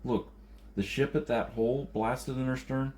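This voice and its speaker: A man voicing an anxious, quick-witted male outsider in an audiobook, speaking in an earnest, pressing manner. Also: mid-range voice, steady tone, a little mellow, pitched above the performer's own voice, lightly acted. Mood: urgent bluffing.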